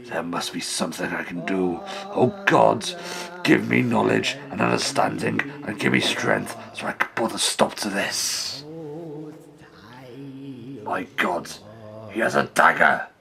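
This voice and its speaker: A man's voice: low-pitched, expressive, measured pace, vocal fry, crowd noise, full character voice. An actor playing a pious, horrified father in an old-time radio play, speaking in melodramatic exclamations. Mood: hushed horror. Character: pious horrified father, narrating, then frantic.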